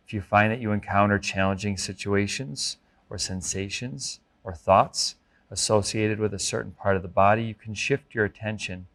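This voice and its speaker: A man with a fairly low voice leads a guided meditation as an instructor. His delivery is gentle and measured, giving clear instructions in a careful, deliberate way. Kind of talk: guided body scan meditation.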